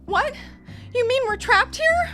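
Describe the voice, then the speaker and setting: A woman's voice: very high voice, expressive, unhurried, sharp tone, faint music behind, full character voice. A woman playing an indignant salmon mascot in an audio comedy, snippy and sarcastic. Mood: alarmed. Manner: huffy, sarcastic.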